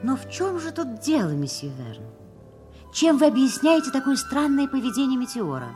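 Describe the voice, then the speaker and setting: A woman's voice: high-pitched, big pitch swings, normal speed. An actress playing a curious, courteous lady questioning an author in a 1960s radio drama. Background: music.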